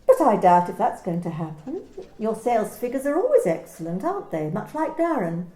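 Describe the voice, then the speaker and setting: A woman's voice: medium pitch, sing-song, a little fast, mellow, reverberant, close to an everyday voice. A woman playing a cool, condescending company manager in an amateur radio play reading. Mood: cold. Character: cold corporate woman manager, clipped and controlling.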